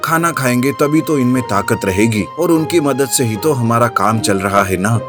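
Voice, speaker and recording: Male narrator for children's animated fable: medium pitch, scripted storytelling, clear music bed. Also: normal speed. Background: background music.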